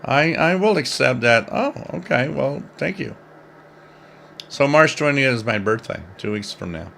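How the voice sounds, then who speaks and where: A man's voice: medium pitch, lively intonation, measured pace, vocal fry, fairly bright tone, a little hiss or hum. A man in his fifties hosting a shortwave radio livestream, chatting casually in a rambling, jokey way as he reads out viewer comments. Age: 50s. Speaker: host of a live shortwave radio stream.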